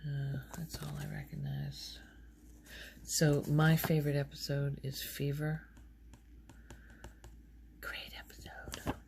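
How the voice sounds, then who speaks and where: Very low, hushed, creaky-voiced woman doing ASMR. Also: big pitch swings, takes it slowly, pauses often. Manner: hushed, often dropping into a whisper. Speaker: ASMR video host. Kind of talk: soft-spoken rambling ASMR show-and-tell. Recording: loud background noise.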